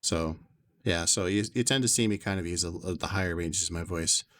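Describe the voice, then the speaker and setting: A man streaming a game from his bedroom, chatting to his viewers in long, rambling asides in an upper bass voice, through a desk microphone with noise suppression. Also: a little fast, fairly bright tone, no echo, studio quality. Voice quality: upper bass to lower tenor range. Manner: rambling, talks to chat in long winding asides. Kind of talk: casual chat while streaming a game. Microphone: desk USB condenser mic with noise suppression.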